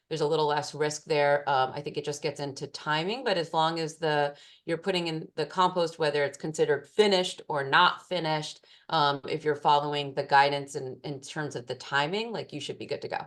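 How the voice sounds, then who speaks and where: A woman's voice: low-pitched, fairly even intonation, fairly quick, hardly any pauses. A woman, a food safety specialist, answering participants' questions in an online class in a casual way with many fillers.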